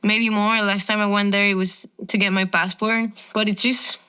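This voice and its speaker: A woman's voice: mid-range voice, little pitch movement, moderate pace, sharp tone, clear, resonant tone. A young woman from Venezuela, a professional tennis player, chatting casually as an interview guest with lots of filler words. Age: 20s.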